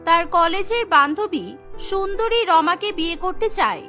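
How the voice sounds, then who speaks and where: Very high, bright woman storyteller. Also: lively intonation, moderate pace, soft background music. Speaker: story narrator. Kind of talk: scripted storybook narration for cartoon.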